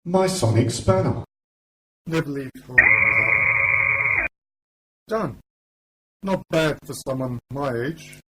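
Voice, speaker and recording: A man's voice: mid-range voice, expressive, takes it slowly, pauses often, background noise. A man playing an eccentric, wry older time traveller in a radio play, with a whimsical, theatrical delivery. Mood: sly, conspiratorial. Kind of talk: hobby radio play acting. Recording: amateur radio play under loud music.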